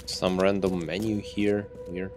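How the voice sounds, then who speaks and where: A man's voice: low voice, level tone, a little slow, over music. A young man from Belarus with a Russian accent, casually commenting on a game as he plays and musing aloud about what he finds. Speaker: streamer.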